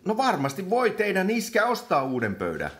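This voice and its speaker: An actor performs an exasperated chairperson in a comic radio play. The delivery is teacherly and scolding, with hesitant stumbles and a very lively pitch that rises and drops.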